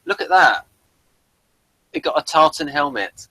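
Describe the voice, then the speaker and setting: Bright-voiced man, rambling review host. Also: a little high, animated, measured pace, dry recording, poor audio. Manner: rambling, stop-start, thinking aloud. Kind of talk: casual unscripted chat and commentary.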